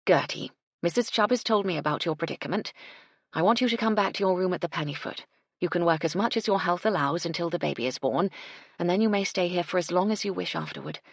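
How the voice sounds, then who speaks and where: Woman as a composed, genteel lady, slightly husky. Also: fairly low pitch, dry recording, studio quality. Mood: reassuring. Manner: measured, genteel, reassuring. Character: composed, kindly, firm lady in charge of the household.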